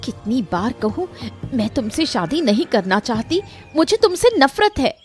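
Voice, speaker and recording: A woman's voice: sits high, big pitch swings, moderate pace, faint music behind, voice pushed up. A woman voicing a frightened but defiant young daughter in a cartoon story, pleading and indignant. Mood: angry, defiant. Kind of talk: dramatized Hindi cartoon story.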